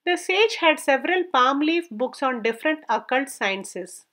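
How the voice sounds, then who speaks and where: A woman with a high-pitched voice reads a folk story aloud. She works as a storyteller, delivering scripted narration. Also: expressive, a little slow, fairly bright tone.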